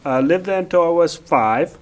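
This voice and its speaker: A man's voice: a little high, lively intonation, moderate pace. A man born in Oklahoma, a council candidate giving plain, matter-of-fact answers.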